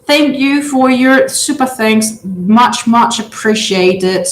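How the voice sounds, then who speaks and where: A woman in her thirties from Denmark with a Danish accent, a native Danish speaker, hosting a casual livestream from her big living room and chatting with viewers in a halting way with lots of filler sounds. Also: fairly high pitch. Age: 30s. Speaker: livestream host. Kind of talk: casual livestream chat, reading out viewer comments. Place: large open-plan living room at home. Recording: roomy echo.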